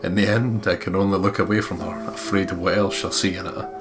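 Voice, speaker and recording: Low-pitched man reading a visual novel. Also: steady tone, brisk. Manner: casual and sweary. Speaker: let's play co-host reading aloud. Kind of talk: narrating a visual novel with casual commentary. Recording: game music behind the voice.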